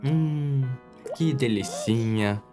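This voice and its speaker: A man's voice: mid-range voice, drawn-out words. A man voicing a paranoid, sassy, vengeful young man in a comic anime parody dub, theatrical, with a Brazilian accent. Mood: smug, spiteful. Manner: theatrical, exaggerated. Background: music.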